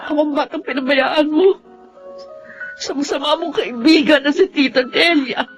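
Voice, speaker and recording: Casting: actress for a resentful young maid in Filipino drama, high-pitched, sharp-tongued and emotive, over a music bed. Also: female voice, moderate pace. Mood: tearful, accusing. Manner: curt, accusing, emotive. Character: bitter, surly young housemaid, an abandoned daughter. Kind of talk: Filipino radio-style melodrama acting.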